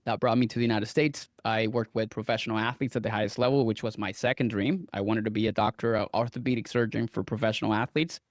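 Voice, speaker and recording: A man's voice: on the low side, steady tone, fast talker, few pauses, no echo. A man, a guest dietitian who tells his story earnestly and sometimes repeats himself. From Venezuela. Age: 30s. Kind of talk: interview answers.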